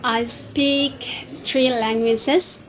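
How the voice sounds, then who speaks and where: A woman's voice: high voice, steady tone, very slow, a little hiss or hum. A young woman in her twenties, a native Indonesian speaker with an Indonesian accent, presenting herself for a video CV in a careful, rehearsed, list-like way, recorded on a lapel mic in an open-air wooden pavilion. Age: 20s. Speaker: job-seeking student. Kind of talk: recited self-presentation for a video CV.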